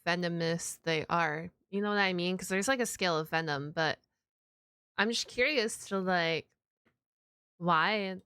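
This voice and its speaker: A woman's voice: on the low side, a little slow, bright, forceful tone, clear, resonant tone, no echo. A woman giving casual, off-the-cuff reaction commentary in an informal, curious way. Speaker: reaction video host.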